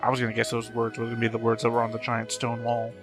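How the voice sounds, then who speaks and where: A man's voice: mid-range voice, monotone, fast, music in the background. A man giving casual reaction commentary, musing and thinking aloud as he hosts. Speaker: reaction show host.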